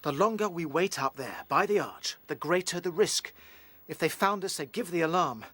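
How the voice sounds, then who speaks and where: An actor playing an earnest, determined rabbit leader in a radio play, grave and thoughtful. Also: male voice, a little high, lively intonation, moderate pace, fairly bright tone, no room sound. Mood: urgent.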